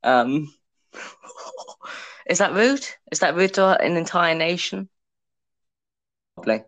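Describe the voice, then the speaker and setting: A man's voice: fairly high pitch, animated, slow, fairly bright tone, dry recording. A young man hosting a casual podcast, teasing his co-host and laughing often. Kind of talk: casual chat.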